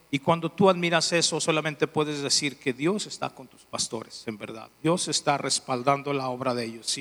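A man's voice: on the high side, bright, forceful tone. A Mexican man, a church administrator, giving an earnest testimony and repeating key phrases. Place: church hall. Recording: reverberant church hall.

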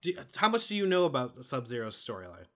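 A man co-hosting a podcast, giving a casual review and explaining things in a hesitant way, pausing mid-thought. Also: medium pitch, sing-song. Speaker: podcast co-host reviewing a film.

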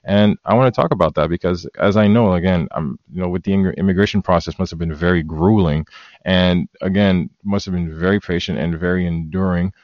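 Deep-voiced male interview host. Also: brisk, no echo, lo-fi sound. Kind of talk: interview conversation.

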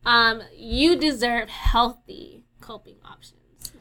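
A woman's voice: a little high, takes it slowly, sharp tone, poor audio. A young woman co-hosting a podcast, talking candidly with lots of fillers, swearing and laughter. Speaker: podcast co-host. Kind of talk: casual chat.

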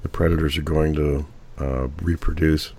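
A man with a cold and a hoarse voice, answering interview questions in a measured way. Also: a bass voice, flat delivery, warm tone, faint music behind.

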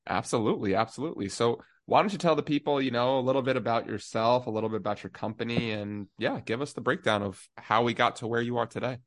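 A man's voice: medium pitch, fast, hardly any pauses. A young man co-hosting a podcast, upbeat and casual as he interviews a guest. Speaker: podcast co-host.

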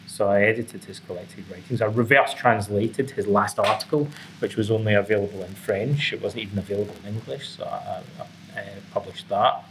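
A middle-aged man with a Scottish accent and a fairly low voice answers interview questions in a measured, academic way. He explains ideas conversationally, with some faint ambient noise in the recording. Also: a bit bright. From Scotland. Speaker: interview guest, author and psychotherapist. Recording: clearly noisy background ambience.

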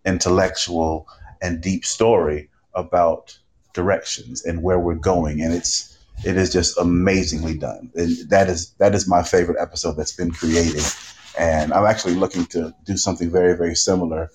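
Deep-voiced man speaking earnestly. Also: fairly even intonation, normal speed, a little mellow, slight background noise. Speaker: podcast host and panel guest. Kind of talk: live panel talk, storytelling.

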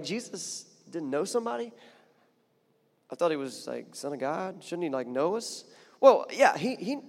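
A man's voice: on the high side, big-room sound. A man preaching a sermon in a chatty, conversational way, building to emphatic points and often repeating words. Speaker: pastor.

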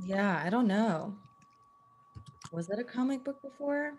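A woman's voice: on the high side, expressive, unhurried, a little mellow, a little hiss or hum. A woman telling things in casual, chatty podcast banter.

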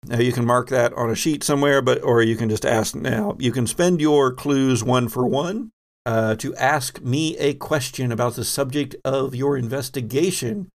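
A man runs a tabletop role-playing game as host. His voice sits at a middling pitch with a noticeable fry. He explains as he goes, stopping to correct himself.

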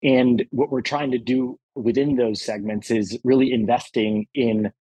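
A man's voice: medium pitch, steady tone, a little slow, warm tone, husky, rough recording. A man from El Salvador, a guest on an interview podcast, talking earnestly and with enthusiasm, often leaning on the word "really.". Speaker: private equity partner, guest.

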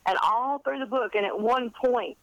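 A woman in her fifties telling stories in a chatty, animated, rambling and self-deprecating way, as an interview guest. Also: on the high side, normal speed, no echo, highs cut off. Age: 50s. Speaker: guest author and college English teacher.

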